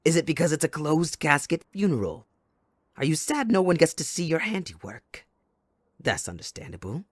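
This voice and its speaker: A man playing a sadistic, possessive supervillain kidnapper in an audio roleplay, taunting theatrically and mock-sweetly, moving between hushed menace and outbursts. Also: a little high, big pitch swings, normal speed, dry recording. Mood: mock-mournful, taunting. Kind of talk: dramatic audio roleplay monologue.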